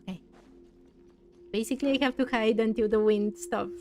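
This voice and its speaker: A woman's voice: a little high, fairly even intonation, moderate pace, darkish tone, soft background music. A woman streaming a video game with casual, reactive commentary, talking to herself in short bursts.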